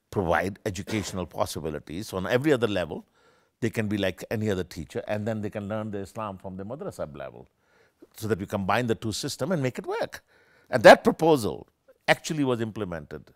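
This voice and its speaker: An older man, a professor, giving an animated and discursive academic lecture with many self-corrections, seated in a small room with armchairs. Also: on the low side, no room sound, clean studio sound. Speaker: keynote speaker, professor.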